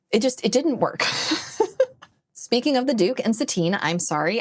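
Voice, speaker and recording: A woman giving opinionated commentary in a conversational video essay style, with animated phrasing. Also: lowish voice.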